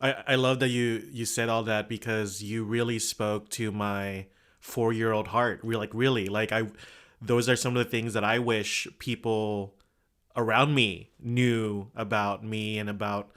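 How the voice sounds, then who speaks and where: A man from Indonesia hosting a podcast, talking in a halting, heartfelt way. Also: fairly low pitch, flat delivery, a bit bright, smooth. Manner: halting, many fillers and restarts, heartfelt. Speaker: podcast host. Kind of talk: podcast conversation.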